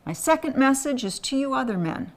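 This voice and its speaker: A woman's voice: sits high, animated, brisk. A woman raised in Thailand, delivering an earnest scripted appeal for a men's ministry. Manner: earnest, pleading.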